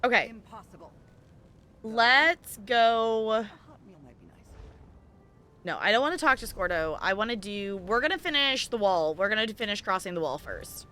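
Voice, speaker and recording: A woman's voice: a little high, lively intonation, measured pace, long pauses, pushed and bright, faint music behind. A woman hosting a game livestream, chatting casually and thinking aloud in a rambling way as she plays. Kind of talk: casual chat while playing an online game.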